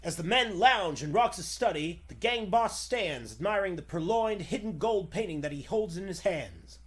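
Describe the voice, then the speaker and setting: A man's voice: high-pitched, animated, normal speed. A man narrating in the dramatic announcer style of a 1940s radio serial.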